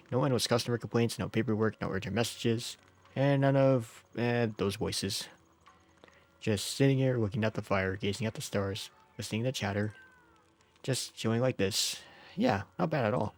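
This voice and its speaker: A man with a fairly low voice gives a casual game playthrough while sick. He talks informally and jokingly as he reads story text aloud, with faint music underneath. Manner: informal, jokey, often chuckling. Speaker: game streamer.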